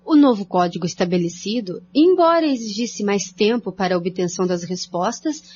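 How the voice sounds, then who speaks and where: A woman's voice: on the high side, darkish tone. A woman with a Brazilian accent reading scripted narration for a radio drama. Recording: dull lo-fi, faint hum.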